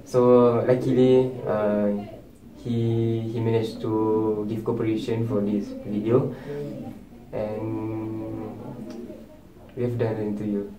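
A man's voice: fairly low pitch, little pitch movement, slow, over music. A young man, speaking hesitantly and repeating words in a student discussion. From Malaysia. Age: young adult. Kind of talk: student group reflection discussion. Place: indoor meeting room at a table. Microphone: far camera. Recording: distant echoey room with noise.

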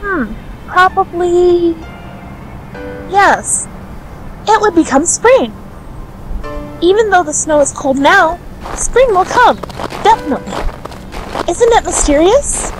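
A woman's voice: very high-pitched, expressive, takes it slowly, pauses often, faint music behind, heavily acted, rough recording. A woman playing an earnest, kind-hearted teenage girl in a radio drama, with a hesitant, innocent manner. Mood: gentle.

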